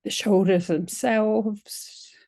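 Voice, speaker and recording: A woman teaching yoga nidra, leading a guided relaxation in a gentle, soothing, unhurried manner with long pauses. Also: mid-range voice, steady tone, no echo.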